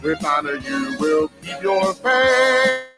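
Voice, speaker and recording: A woman's voice: fairly low pitch, very animated, slow pace. A woman singing a sports fight song with musical accompaniment. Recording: music throughout.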